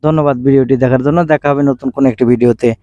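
Fast-talking man narrating a tutorial, mellow tone. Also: mid-range voice, steady tone, no echo, muffled recording. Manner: repetitive, instructional phrasing. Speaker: tech tutorial presenter. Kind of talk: step-by-step screen tutorial narration.

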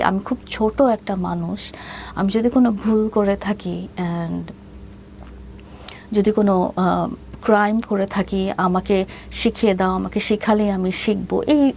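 A woman giving a personal account as an interview guest, pausing often and mixing in many English words. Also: medium pitch, creaky, darkish tone, a little hiss or hum. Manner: hesitant pacing, heavy mixing of English words.

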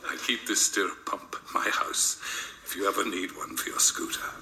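Older man as a chatty storybook village lady, low and hushed, in a roomy theatre. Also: fairly even intonation, moderate pace, exaggerated voice. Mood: obliging. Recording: roomy theatre sound, faint background noise.

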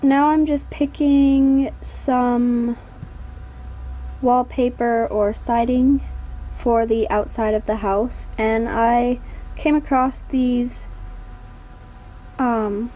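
A woman casually narrating her gameplay voiceover, a bit hoarse from a coming cold, hesitant with many ums and trailing off. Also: a little high, steady tone, very slow, long pauses, dark tone, rough recording. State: hoarse, getting sick. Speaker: gaming vlogger.

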